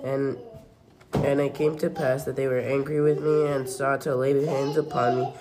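A young reader reading scripture aloud haltingly, stumbling over words and correcting them. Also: mid-range voice, flat delivery, crowd noise. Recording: noisy home recording.

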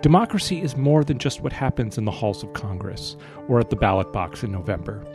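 An American man with a slightly husky voice, speaking as the host of an interview show. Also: fairly low pitch, expressive, brisk, music in the background. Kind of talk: scripted show introduction and interviewing.

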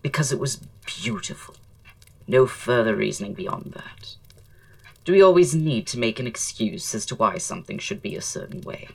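An actor playing a witty, flamboyant Victorian writer and aesthete in an audio drama, speaking in a florid, languid, theatrical way. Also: male voice, mid-range voice, sing-song, moderate pace, gravelly, far from the mic, poor audio. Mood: eloquent, musing.

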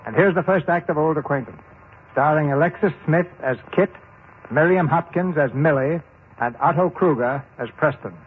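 A man with an American accent giving formal, measured scripted narration as host of a 1940s radio theater. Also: a little high. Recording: muffled, noisy old radio recording.

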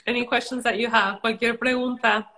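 A woman's voice: on the high side, fairly even intonation, fairly quick, a crowd in the background. A woman from Tijuana, Mexico, whose first language is Spanish, chatting casually as a guest on a live stream, full of "like" fillers. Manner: chatty, lots of "like" fillers, laughs easily. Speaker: fitness and wellness creator, guest.

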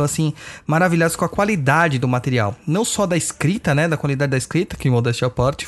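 A man with a Brazilian Portuguese accent hosting a podcast, chatting informally with his nose stuffed up from a cold. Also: a little high. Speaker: podcast host. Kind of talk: casual podcast update.

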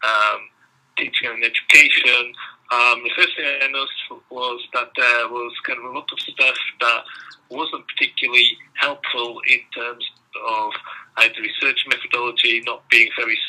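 A man's voice: mid-range voice, pushed and bright, low-quality recording. A middle-aged man from Belgium with a Belgian accent, answering interview questions in a hesitant way with many ums. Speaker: guest researcher.

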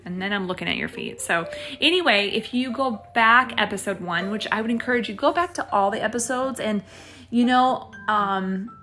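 A middle-aged woman hosting her own podcast alone, talking casually into a boom mic with lots of fillers and self-deprecating asides. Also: normal speed, sharp tone, faint music behind. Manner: chatty and self-deprecating, with many fillers and laughs. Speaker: podcast host. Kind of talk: casual solo podcast chat. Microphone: boom microphone.

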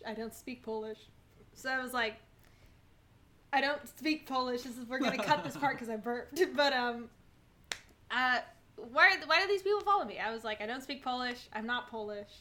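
Female podcast guest, young. High, bright tone; informal, animated comic storytelling. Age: young adult. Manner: animated, jokey, slangy. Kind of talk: casual chat, comic storytelling.

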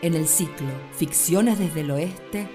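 A woman's voice: lowish voice, takes it slowly, music in the background. A woman reading a scripted radio programme announcement.

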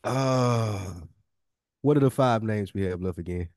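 Deep-voiced, joking male podcast co-host. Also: a little slow, darkish tone, no echo, studio quality. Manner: informal, slangy, joking. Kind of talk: casual chat, joking banter.

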